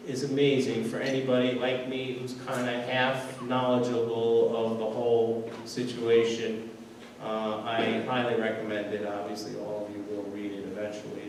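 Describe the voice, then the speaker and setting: A man hosting an event, speaking slowly and earnestly with some ums as he introduces the next speaker. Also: medium pitch, completely flat delivery, a little mellow. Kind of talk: speech at an event. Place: large event room. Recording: echoey hall, mic far off, faint noise.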